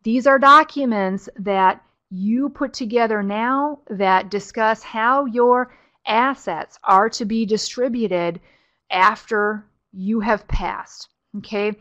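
A woman's voice: on the high side, slow pace, a bit bright, highs cut off. A middle-aged woman giving a webinar presentation, explaining things step by step in a conversational way with frequent fillers. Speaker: webinar presenter on farm estate planning.

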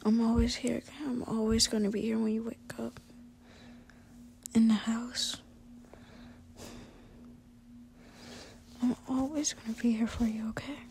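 A woman voicing a caring young male boyfriend who talks in a soft, hushed whisper to calm his partner. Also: a little high, normal speed, creaky, a little hiss or hum. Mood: soothing.

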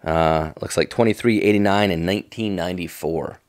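A man's voice: sits low, fairly quick, no room sound. A middle-aged American man giving casual, off-the-cuff commentary in a folksy, enthusiastic, nostalgic manner. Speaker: fishing tackle vlogger.

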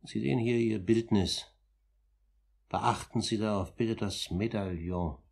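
A grave older gentleman with a low, husky voice. He speaks formally and deliberately, pressing each point with firm emphasis, in the manner of 1950s German radio drama. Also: fairly even intonation, exaggerated voice. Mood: grave, measured. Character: composed, insistent older gentleman, grave and accusing uncle.

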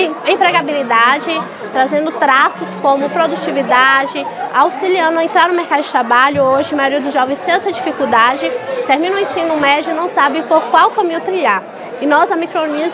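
A young Brazilian woman representing a training company, giving a brisk promotional statement on camera into a lapel mic. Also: high voice. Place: outdoor covered schoolyard with students. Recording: loud music and crowd behind.

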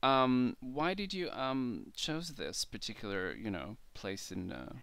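A male talk show host gushes over his guest, repeating himself and stumbling through his questions. His voice is bright and smooth, with a lively, swinging pitch. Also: a little slow, no room sound. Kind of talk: casual interview chat.

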